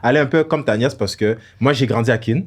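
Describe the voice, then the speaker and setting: A young man from Congo, raised in Montreal, speaking in an animated, joking casual chat. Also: medium pitch, fairly quick. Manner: animated, joking, mimics voices.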